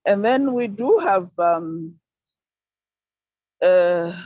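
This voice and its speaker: A woman's voice: fairly low pitch, lively intonation, drawn-out words, dark tone, no echo. Older Zambian woman, earnest video call. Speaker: panel speaker. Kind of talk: online conference talk. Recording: dull dry video call audio.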